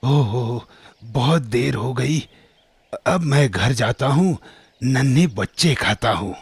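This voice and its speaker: A man voicing a gloating, greedy male wolf in a children's cartoon story, with a husky, menacing, drawn-out delivery. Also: mid-range voice, animated, no room sound, exaggerated voice. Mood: self-satisfied. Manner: slow, drawn-out menacing delivery with villainous laughs.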